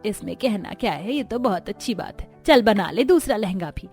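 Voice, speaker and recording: A woman's voice: mid-range voice, lively intonation, moderate pace, a little mellow, faint music behind, close to an everyday voice. A woman voicing an approving, encouraging middle-aged mother in a cartoon story. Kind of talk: Hindi cartoon story dialogue.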